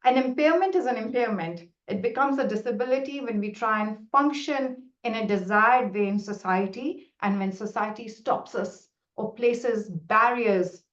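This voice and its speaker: A middle-aged woman whose first language is Hindi, a researcher answering questions in a webinar in an earnest, explanatory way with frequent restarts. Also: mid-range voice. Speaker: researcher presenting findings. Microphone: video call. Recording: roomy, muffled video call audio.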